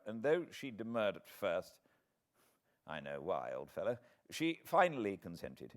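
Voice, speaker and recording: An earnest, worried British gentleman, voiced by a man reading an audiobook, writes to an old friend. His voice is on the low side, with very varied, expressive intonation. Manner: anxious, earnest. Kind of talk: dramatised audiobook letter reading.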